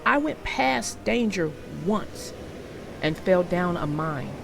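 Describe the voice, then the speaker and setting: A woman's voice: fairly low pitch, expressive, slow, gravelly, noisy background, full character voice. A woman voicing a cautious, rule-following male tank engine in a storybook, speaking earnestly. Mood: warning.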